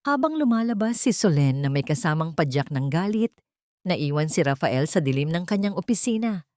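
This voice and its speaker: A woman's voice: lowish voice, a little mellow, no room sound, clean studio sound. A woman reading scripted fiction as a dramatic audio story narrator.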